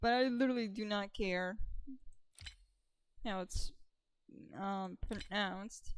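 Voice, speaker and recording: A woman's voice: medium pitch, slow, slight background noise. A woman game streamer chatting casually with playful asides while she plays. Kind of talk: casual gameplay commentary.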